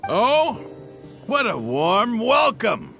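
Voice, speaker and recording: A man voicing a cocky, sneering desert gang leader in a video game, mocking and theatrical, with a gravelly edge. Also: high-pitched, wildly swooping pitch, very slow, sharp tone, soft background music, heavily acted.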